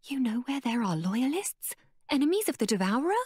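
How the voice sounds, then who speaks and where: A woman voicing an eager, determined young woman, her voice pitched up, breathless and urgent. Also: big pitch swings, measured pace, darkish tone, dry recording, studio quality.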